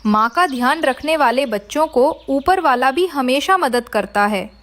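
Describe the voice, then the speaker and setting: Woman playing a high-voiced, kindly red fairy. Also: animated, a little slow, a bit bright. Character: kind, generous female red fairy. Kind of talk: children's cartoon voice acting.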